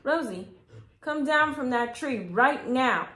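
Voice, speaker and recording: A woman acts out a storybook father, firm and urgent. He calls out with drawn-out words in a roomy space. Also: high voice, expressive, fairly bright tone, pitched above the performer's own voice, exaggerated voice.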